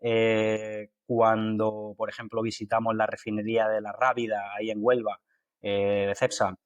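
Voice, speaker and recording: A man from Málaga with an Andalusian accent, a guest talking through an interview in a halting way with many fillers and restarts. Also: fairly low pitch, completely flat delivery, dry recording, muffled recording. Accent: Andalusian Spanish from Málaga. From Málaga, Spain. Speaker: guest, water industry engineer. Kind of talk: conversational interview.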